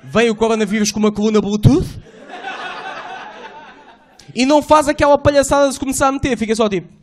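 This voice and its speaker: A man doing a stand-up set in a small club, slangy and animated, acting out voices and sounds. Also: very high voice, fast talker. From Portugal. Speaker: stand-up comedian. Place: small club stage, indoors. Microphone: handheld mic.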